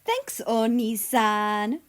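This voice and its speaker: A woman's voice: fairly high pitch, very animated, extremely slow, heavily acted. A woman voicing a cheeky, friendly younger brother with a casual, teasing way in a story reading. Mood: playful.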